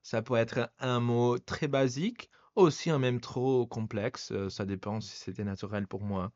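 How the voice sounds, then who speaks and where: A man's voice: medium pitch, measured pace, darkish tone, dry recording, muffled recording. A man speaking French as a second language, chatting casually as a podcast host and stopping often to search for words. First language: not French. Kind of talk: casual conversation.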